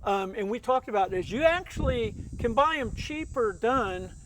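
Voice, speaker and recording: A man's voice: very high-pitched, lively intonation, moderate pace, a bit bright, a little hiss or hum, poor audio. A man hosting a casual, unscripted car review, chatting with the people around him. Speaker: car show host.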